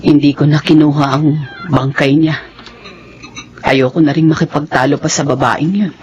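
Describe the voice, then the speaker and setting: An actor voicing a gentle, devout older stepmother in a radio drama, soft-spoken and pious. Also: a little high, fairly even intonation, moderate pace, background noise, close to an everyday voice. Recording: dull lo-fi radio recording. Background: faint music.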